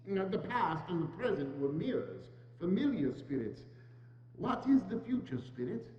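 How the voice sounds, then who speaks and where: Old miser male actor, fearful and halting, dramatic stage reading; muffled, noisy hall recording. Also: high voice, big pitch swings. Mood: anxious, puzzled. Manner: hesitant, stammering, pleading. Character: frightened, pleading old miser man, anxious and humbled. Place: large church hall, indoors. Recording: muffled echoey church hall, humming noise.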